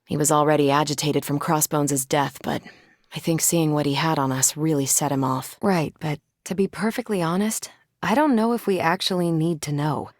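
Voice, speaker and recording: Confident video game superheroine, American accent, wry and teasing, slightly low female voice, dry close sound. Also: moderate pace, no echo. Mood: casual, frank. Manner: breezy, teasing, sardonic, casual American slang. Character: confident, wry, upbeat superheroine, adult woman soldier type. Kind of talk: video game voice acting, short one-off lines.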